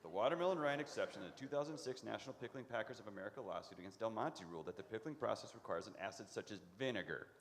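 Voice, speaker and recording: A man's voice: lowish voice, lively intonation, rapid-fire, hardly any pauses. A man playing an exasperated, argumentative festival announcer in a comedic radio play. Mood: urgent, official. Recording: echoey hall, some background noise.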